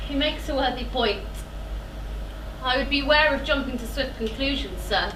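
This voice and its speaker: A woman's voice: high-pitched, normal speed, distant mic, close to an everyday voice. A young woman playing a cautious chorus member in a stage tragedy, with formal, admonishing delivery. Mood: cautioning. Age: young adult. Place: theatre stage. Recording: echoey theatre from afar, faint noise.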